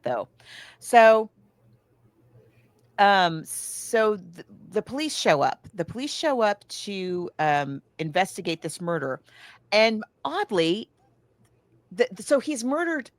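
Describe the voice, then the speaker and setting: Podcast co-host, female, slightly low register, dry sound, casual and emphatic storytelling. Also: dry recording. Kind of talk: casual film discussion, recapping a plot.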